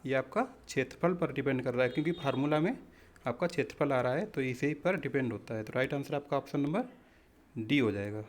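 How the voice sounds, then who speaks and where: A man's voice: medium pitch, brisk. A man teaching exam practice questions, explaining step by step in an instructional way and often addressing listeners as friends. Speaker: exam-prep physics teacher.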